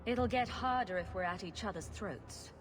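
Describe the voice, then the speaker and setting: A woman's voice: medium pitch, normal speed, close to an everyday voice. An actress playing a confident, level-headed adventurer in a fantasy game, dry and sardonic, with music behind her. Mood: composed, persuasive. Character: confident, wry female adventurer, level-headed older sister.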